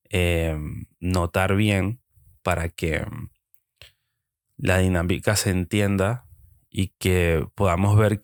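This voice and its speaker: A young Venezuelan man with a Venezuelan accent hosting a solo podcast, talking reflectively and pausing often with hesitant fillers. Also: deep voice, no room sound, studio quality. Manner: hesitant, with frequent ums and pauses. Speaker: podcast host.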